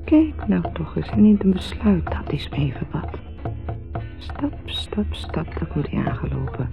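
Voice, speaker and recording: An actress playing a mocking, bitter, lonely wife in a sardonic, theatrical radio drama monologue. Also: female voice, on the low side, sing-song, a little slow, gravelly, in a whisper, full character voice. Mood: bitter, wistful. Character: mocking, bitter, lonely wife musing about her husband. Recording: thin, phone-like 1970s radio recording with music.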